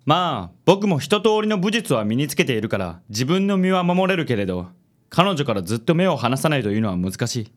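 Suave, vain gentleman with theatrical swoops, acted by a man. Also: mid-range voice, big pitch swings, brisk. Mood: confident. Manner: smooth, self-assured, affected. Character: suave, vain handsome company president, sprinkles English. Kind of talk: Japanese comic radio drama acting.

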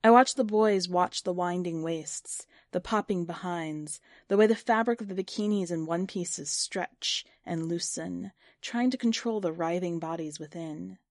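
Female narrator for literary fiction, slightly low voice, first-person reading, dry close recording. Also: measured pace, hardly any pauses, darkish tone, dry recording. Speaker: story narrator.

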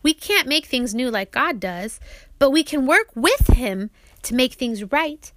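A woman with a high, bright voice reads a children's faith book aloud. She is teacherly and keeps turning to young listeners with simple questions. The sound is close and dry. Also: sing-song, a little slow, no room sound. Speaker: author reading her audiobook aloud to her children.

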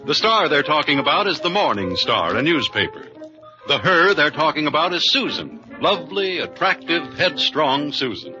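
Animated high-pitched 1950s male radio announcer. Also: moderate pace. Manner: jaunty, theatrical, confiding. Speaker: announcer and narrator. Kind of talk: 1950s radio show narration. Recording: muffled vintage radio recording under loud music.

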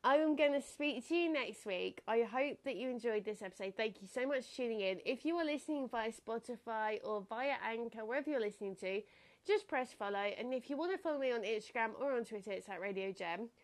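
A woman's voice: a little high, animated, brisk. A young woman hosting her own podcast, talking in a casual, reflective way with a slightly nasal, stuffed-up voice and frequent laughs. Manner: chatty, reflective, laughs often. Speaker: podcast host. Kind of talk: casual solo podcast talk.

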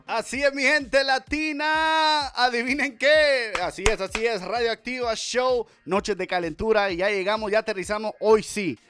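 A man hosting a casual Spanish-language radio podcast, talking with energetic, repetitive, playful hype. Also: very high voice, normal speed, few pauses, music in the background. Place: home studio in Las Vegas.

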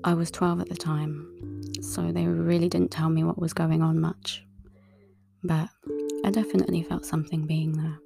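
A woman and tarot reader speaking a calm, soft-spoken monologue in a relaxing, breathy half-whisper. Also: low-pitched, normal speed. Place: outdoors in a wooded area. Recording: clear guitar music underneath. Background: guitar music.

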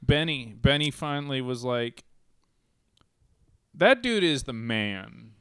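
A man's voice: medium pitch, sing-song, slow pace, fairly bright tone, clear, resonant tone, no echo, clean studio sound. A man in a laid-back movie chat, swearing casually and tripping over his words. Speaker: podcast co-host.

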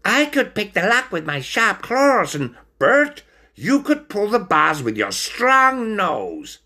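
A touchy, excitable little storybook stoat, pitched far up high. The delivery is shrill and exclamatory, swooping about in animated bursts. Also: male voice, takes it slowly, heavily acted. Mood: eager.

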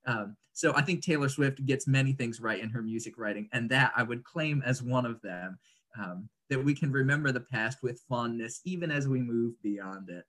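A man, talking reflectively as an interview guest, articulate and often pausing mid-sentence to pick his words. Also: mid-range voice, a bit bright. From Indonesia, grew up there as an American third culture kid. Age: 30s. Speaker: interview guest, writer and speaker.

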